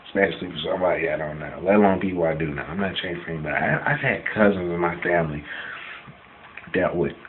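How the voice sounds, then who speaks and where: A man in his late twenties with a very low voice rants casually about himself. He is blunt and defiant, swearing and hammering his points by repetition. The sound is dull and lo-fi, with faint noise behind him. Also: fast. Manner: blunt, defiant, profane, repeating himself for emphasis. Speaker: vlogger answering questions about himself. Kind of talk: casual personal rant to camera.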